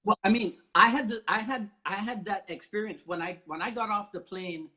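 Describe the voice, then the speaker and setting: A man from Barbados with a notably high-pitched voice hosts a relaxed conversation. He talks in an animated, chatty way and laughs often as he tells his stories. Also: normal speed. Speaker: host. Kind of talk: casual conversation.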